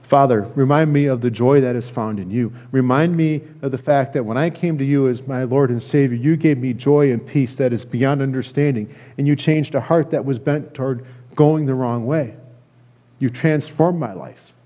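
A middle-aged American man giving a sermon as a pastor, speaking earnestly and haltingly, often repeating phrases. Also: medium pitch, warm tone. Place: church sanctuary, indoors at a lectern.

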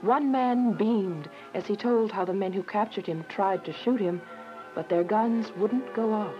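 A woman's voice: medium pitch, measured pace, close to an everyday voice. An actress playing a devout young woman on the run, narrating her story earnestly in a radio drama. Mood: grateful, reflective. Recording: dull lo-fi radio drama, music behind.

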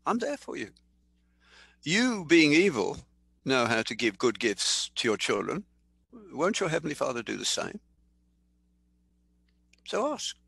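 An older man giving a sermon in a thoughtful, conversational way, slowing down to read scripture aloud. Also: medium pitch, sing-song, gravelly, fairly bright tone, slightly rough, dry recording. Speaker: preacher. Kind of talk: sermon teaching.

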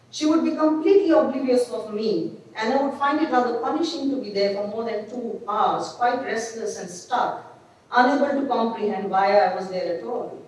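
A woman's voice: on the high side, a little mellow. Woman lecturing in an echoey hall. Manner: measured, reflective reading with small restarts. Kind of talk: reading a prepared lecture. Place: dim gallery hall, seated at a desk. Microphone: gooseneck desk mic. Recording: echoey gallery hall, mic at a distance.

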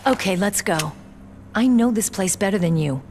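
A woman's voice: mid-range voice, expressive, normal speed, a little mellow, a little hiss or hum, lightly acted. A woman playing a tough, proud young rookie soldier in a video game, earnest, determined and plain-spoken. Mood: brisk, confident. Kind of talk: dramatic video game cutscene acting. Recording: background music under studio voice.

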